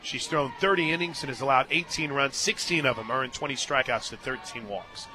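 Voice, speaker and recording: A man doing live radio play-by-play of a softball game, mixing commentary with casual banter from a booth at the ballpark. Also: mid-range voice, fairly quick, crowd noise, background noise. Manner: bursts into excited shouts on big plays. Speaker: play-by-play sports radio announcer.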